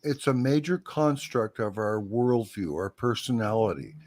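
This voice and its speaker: A man's voice: on the low side, animated, measured pace, a little mellow, no echo. A man hosting a livestream, skeptically challenging his guest and often repeating his questions. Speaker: livestream host. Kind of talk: heated casual debate.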